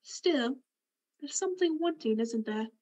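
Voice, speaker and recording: A woman playing a sweet, wistful young woman in love, speaking gently in radio drama style. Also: high voice, animated, measured pace. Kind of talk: 1940s radio drama acting.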